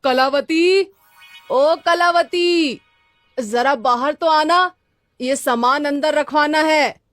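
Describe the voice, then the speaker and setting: A woman plays a poor, devout village sculptor from a cartoon story. The voice is very high for such a husband, earnest and plaintive, over faint music. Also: takes it slowly, fairly bright tone, no echo. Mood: calling out.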